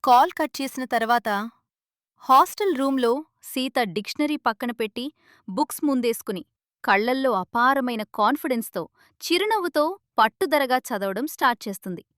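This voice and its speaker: A woman narrating an animated drama story in a dramatic, expressive way. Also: high-pitched, moderate pace, no echo, studio quality.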